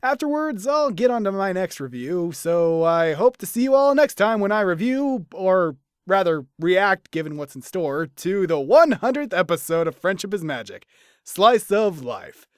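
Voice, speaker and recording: A man giving an enthusiastic, animated scripted review as a cartoon episode commentator, with instrumental music behind him. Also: sits high, normal speed, smooth, no echo, studio quality. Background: instrumental background music.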